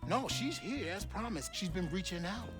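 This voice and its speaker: An actor playing a sly, theatrical trickster with a Southern American accent in a video game cutscene. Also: male voice, sits high, animated, moderate pace. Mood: sly, explaining. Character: sly, theatrical trickster who rules an illusory town. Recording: game music behind.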